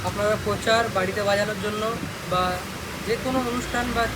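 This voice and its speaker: Young Bengali man over loud background noise. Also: high voice, fast. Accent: West Bengal Bengali. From West Bengal, India. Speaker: speaker repair technician and channel host. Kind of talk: casual product showcase vlog. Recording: loud steady background noise, amateur recording.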